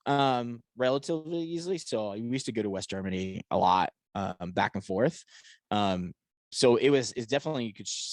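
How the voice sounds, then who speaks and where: Male podcast guest, forties, Philadelphia accent, low voice, casual talker, dry close recording. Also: a little mellow, no echo. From Poland. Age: 40s. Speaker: podcast guest, e-commerce entrepreneur. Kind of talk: casual chat.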